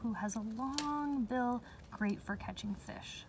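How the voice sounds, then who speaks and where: A woman teacher reading a picture book aloud to young children, explaining in a measured way with pauses between phrases. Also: fairly high pitch. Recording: distant mic with faint background noise.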